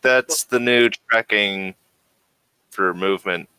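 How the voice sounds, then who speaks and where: Deep, bright-voiced man running a game. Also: slow, clear, resonant tone, dry recording, rough recording. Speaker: game master. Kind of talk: casual online tabletop game session.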